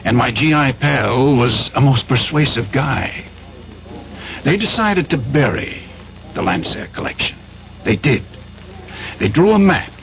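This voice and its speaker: An actor playing a persuasive, commanding schemer, an importer and war veteran, in a 1970s radio drama. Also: male voice, mid-range voice, lively intonation, fairly bright tone, slight background noise. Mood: storytelling. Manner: persuasive, self-assured, deliberate phrasing. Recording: dull old radio recording, faint music.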